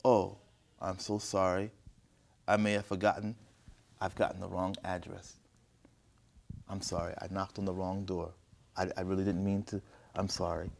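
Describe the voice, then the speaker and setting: A man voicing a meek, apologetic old mother in a moral story, quietly and hesitantly. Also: low voice, level tone, moderate pace, a little hiss or hum, natural voice. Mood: meek apology.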